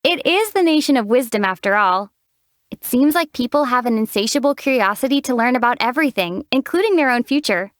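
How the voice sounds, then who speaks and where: A level-headed young traveler heroine, voiced by a woman, her voice high for a woman and recorded close and dry. She answers in a calm, gently wry way, with steady, unhurried delivery. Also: no room sound, lightly acted. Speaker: game protagonist. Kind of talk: video game character dialogue.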